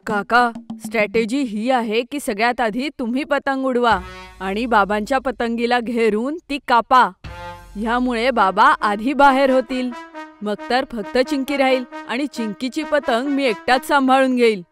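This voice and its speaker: A woman's voice: on the high side, normal speed, fairly bright tone, soft background music, natural voice. A woman voicing an eager, clever young boy in a children's cartoon story, earnest and explanatory. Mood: eager, confident.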